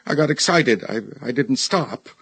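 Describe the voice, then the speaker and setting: Tormented, halting husband. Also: male voice, medium pitch, lively intonation, a bit bright, husky, no room sound. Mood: haunted, halting. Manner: halting, heavy, agonized delivery. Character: tormented middle-aged husband, haunted judge confessing killings. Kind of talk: radio drama acting.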